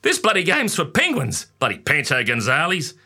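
A man's voice: fairly high pitch, huge pitch swings, normal speed, bright, forceful tone, voice pushed up, full character voice. A man with an Australian accent voicing a blunt, swaggering working-class father who swears casually. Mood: blustery. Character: rough, blustery working-class dad.

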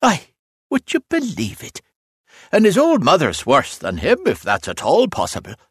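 A man's voice: a little high, wildly swooping pitch, moderate pace, slightly rough, no room sound, studio quality, pitched above the performer's own voice, full character voice. A man voicing a big, caustic Irish road labourer in an audiobook, garrulous and aggrieved, with an emphatic, lilting, grumbling delivery. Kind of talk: audiobook character voice.

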